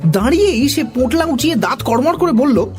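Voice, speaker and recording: An expressive, animated narrator telling a children's story in dramatic style. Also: sits high, fairly quick, faint music behind.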